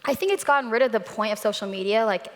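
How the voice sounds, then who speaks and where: A woman's voice: fairly high pitch, fast. A young adult woman talking casually as a panelist. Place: large hall, public panel. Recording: echoey hall, amplified panel.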